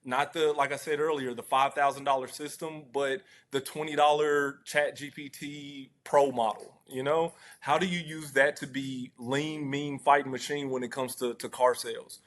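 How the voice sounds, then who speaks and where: A man with a medium-pitched voice speaks as an interview guest. He answers conversationally, halting and restarting as he thinks, with a modest, self-effacing way of putting things. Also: little pitch movement, a bit bright. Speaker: interview guest, car dealership internet director.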